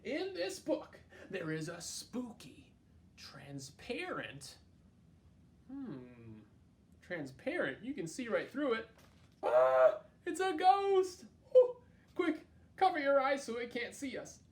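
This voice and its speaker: Animated male children's storytime reader. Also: very high voice, slow pace, slight background noise. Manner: playful, exaggerated, expressive delivery; addresses young listeners directly with questions and prompts. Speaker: children's storytime host at a library. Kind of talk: animated read-aloud of a picture book for children. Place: library.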